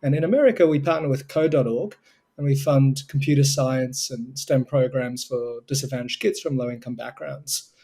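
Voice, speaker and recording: A man's voice: mid-range voice, moderate pace, mellow, husky. A young Australian man, a guest in an interview, explaining his work earnestly and fluently. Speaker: guest, co-founder of a tech charity. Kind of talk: remote interview answers.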